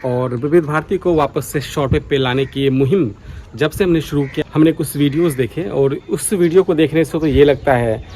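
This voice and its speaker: An Indian man with a soft, relaxed tone talks to his viewers in an earnest, casual vlog style. He drops English words into his speech. He is outdoors, with faint noise behind him. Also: fairly high pitch, normal speed. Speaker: vlogger, radio enthusiast. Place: outdoors on a foggy morning.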